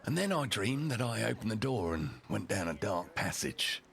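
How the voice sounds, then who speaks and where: Storybook noblewoman, played by a man: cool, deliberate, defiant, with a creaky low register. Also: very animated, fairly bright tone, full character voice. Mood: cold, measured. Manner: deliberate, dramatic, icy. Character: young noblewoman bride, composed, coldly defiant.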